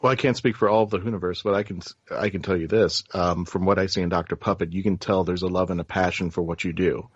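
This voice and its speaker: A man's voice: sits low, fairly even intonation, quick pace, few pauses, breathy edge, no room sound, muffled recording. A man hosting a casual interview show, talking in an effusive, informal, rambling way. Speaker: talk show host.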